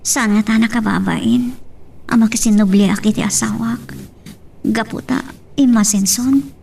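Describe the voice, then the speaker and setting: A woman's voice: fairly high pitch, steady tone, unhurried, creaky, darkish tone, slightly rough, full character voice. A young woman with an Ilocano accent playing a sorrowful, ashamed wife in a radio drama. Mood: ashamed, subdued.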